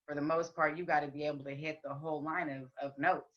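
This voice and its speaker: A woman with a deep, raspy voice, talking candidly as a podcast guest with frequent ums and restarts. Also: level tone, quick pace, dull audio. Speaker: podcast guest, rapper and singer. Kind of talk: casual interview chat.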